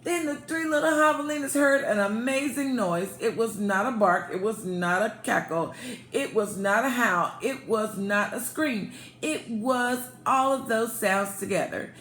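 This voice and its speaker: Slow-paced woman reading a children's story aloud. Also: on the high side. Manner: expressive, pausing to prompt young listeners. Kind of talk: children's picture book read-aloud. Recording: echoey room with faint background noise.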